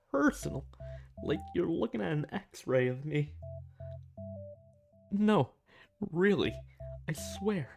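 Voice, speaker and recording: A woman playing a shy, snarky, touch-starved alien in an ASMR roleplay audio drama, with a halting, flustered delivery. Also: deep voice, creaky, exaggerated voice. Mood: teasing, shy. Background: soft music.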